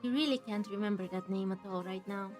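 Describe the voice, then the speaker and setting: A woman's voice: mid-range voice, fast talker, music in the background. A woman streaming a game, commenting casually and musing aloud in a reactive way, sometimes reading text out. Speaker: gameplay streamer.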